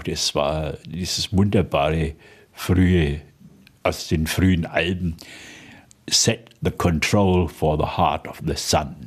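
Deep, husky older man reminiscing. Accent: Franconian. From Franconia, Germany. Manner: slow, thoughtful, frequent hesitations with "äh". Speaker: interview guest, dialect poet. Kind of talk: radio interview, reminiscing.

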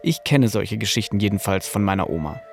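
A man's voice: fairly low pitch, animated, a little fast, gravelly, soft background music. A man narrating a scripted podcast as host, in a casual, personal storytelling manner.

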